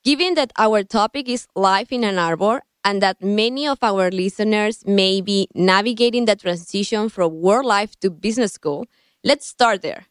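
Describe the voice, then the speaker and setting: A woman's voice: medium pitch, clear, resonant tone, no room sound, studio quality. A woman hosting a podcast, asking prepared questions in an upbeat, welcoming way. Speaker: podcast host. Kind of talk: scripted hosting.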